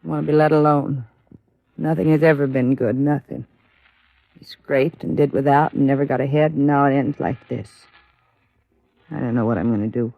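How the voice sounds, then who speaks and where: An actress playing a grief-stricken working-class widow, bitter and defensive, with plain plaintive speech in an old radio drama. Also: female voice, rumbling low, fairly even intonation, normal speed, creaky, long pauses, dry recording, full character voice. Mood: bitter, upset. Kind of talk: 1950s radio drama acting. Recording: dull vintage radio recording.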